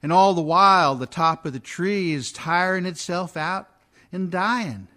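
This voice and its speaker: A man's voice: high-pitched, measured pace, sharp tone. A man playing a plain-spoken, disgruntled laborer, blunt and argumentative, in a dramatic radio verse monologue. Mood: bitter insistence. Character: plain-spoken, disgruntled dead ditch digger.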